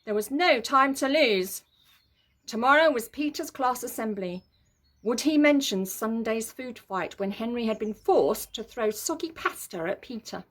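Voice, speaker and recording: A woman with a fairly high voice reads a children's story aloud. Her narration is expressive and emphatic, playing up the drama for young listeners. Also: a little slow. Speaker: story reader.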